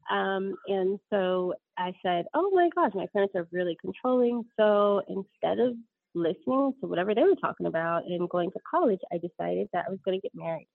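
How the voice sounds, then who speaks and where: A woman's voice: medium pitch, lively intonation, normal speed, vocal fry, no room sound. Interview guest, woman, 30s. Rambling, self-correcting storyteller, tinny dry line. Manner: rambling, frequent ums and self-corrections, laughs often.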